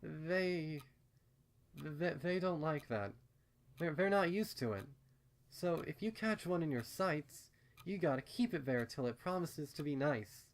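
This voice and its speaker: A man acts out a young cartoon pony mare full of uncanny secrets. The voice is pitched up from his usual talk and takes its time. She stammers and rambles earnestly, nervous and hesitant, as in a storybook reading. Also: expressive, unhurried. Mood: earnest, insistent. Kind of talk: acted fan fiction reading.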